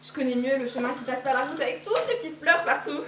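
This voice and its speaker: A woman's voice: high-pitched, lively intonation, fast, a little hiss or hum. A teenage girl playing a trusting little girl who chatters eagerly and repeats herself, in a school play. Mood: innocent. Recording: echoey hall from far off.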